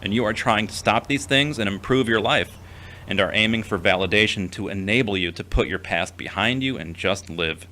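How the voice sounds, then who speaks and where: A man playing a gentle, reserved, long-suffering character in an audio drama, with a measured, formal manner. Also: lowish voice, a little hiss or hum. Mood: sympathetic, solemn. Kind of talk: scripted audio drama acting.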